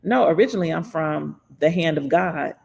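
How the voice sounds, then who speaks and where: A livestream host from Florida talks through viewer comments in a casual political chat. The voice sits at a middle pitch with a gravelly, creaky edge. The speaker laughs often and slows right down to press key points. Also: expressive, a little mellow.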